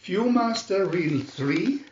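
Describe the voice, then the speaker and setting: A man narrating a scripted children's storybook in a deliberate, expressive storytelling manner. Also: sits high, echoey room, dull audio, slight background noise.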